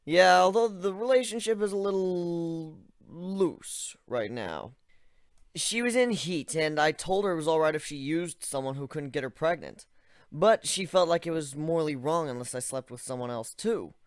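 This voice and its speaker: A man's voice: on the high side, lively intonation, moderate pace, no room sound, clean studio sound, voice pushed up. A man voicing an easygoing young male griffin who talks in a joking, offhand way. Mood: casual.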